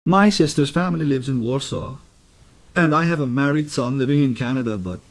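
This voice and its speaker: An elderly immigrant man, played by an actor in a crime audio drama. He speaks at a middling pitch, politely and hesitantly, wandering off as he evades questions. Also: a little fast, close to an everyday voice. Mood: wistful. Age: 70s. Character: lonely, evasive elderly Polish immigrant man under questioning.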